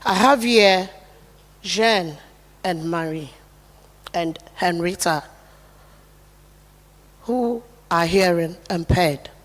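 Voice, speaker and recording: Slow, soft-voiced woman giving a speech. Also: lowish voice. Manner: earnest, declarative. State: low, soft voice. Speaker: corporate guest speaker. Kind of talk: delivering a formal speech. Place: large event hall. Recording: reverberant hall, long echo.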